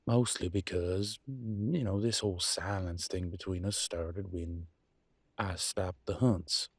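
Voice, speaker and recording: A man acts out a deep-voiced werewolf pack leader in a relationship drama, worn down and bitter. He talks in a folksy, colloquial way with a sarcastic edge and deliberate pauses. The sound is close and dry. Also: steady tone, husky, no room sound, heavily acted. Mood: weary, sarcastic. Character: weary, embittered male werewolf pack leader and husband. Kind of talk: dramatic one-sided relationship roleplay audio.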